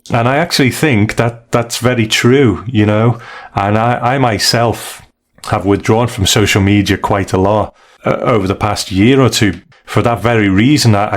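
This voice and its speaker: A man co-hosting a podcast, discussing and reviewing stories casually in a thoughtful, hesitant way. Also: on the low side. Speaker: podcast co-host.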